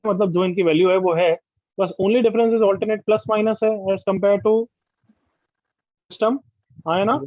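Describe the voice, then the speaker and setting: A man teaching an online class, drilling his students with quick questions. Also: high voice, steady tone, no room sound, dull audio, soft background music. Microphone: video call.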